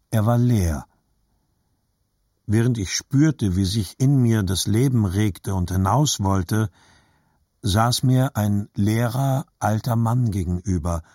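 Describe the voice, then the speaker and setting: An actor playing a reflective adult narrator remembering his boyhood, reading literary narration in a measured, husky voice. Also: on the low side, darkish tone, no echo, clean studio sound. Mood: melancholy.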